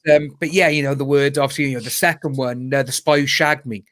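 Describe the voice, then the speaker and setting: A man's voice: mid-range voice, steady tone, fast talker, fairly bright tone, dry recording, clean studio sound. A man in his thirties from Wales with a Cardiff accent, chatting as a guest on a video call, jokey, laughing often and calling people "mate". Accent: Cardiff Welsh. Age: 30s. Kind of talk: casual chat.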